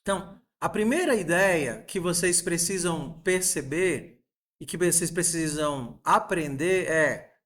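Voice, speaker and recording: Guy in his 40s teaching coding, high-ish voice, patient, keeps quizzing the class. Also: unhurried, a bit bright. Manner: patient, asks the class rhetorical questions, uses diminutives. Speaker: programming teacher. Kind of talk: coding lesson screencast.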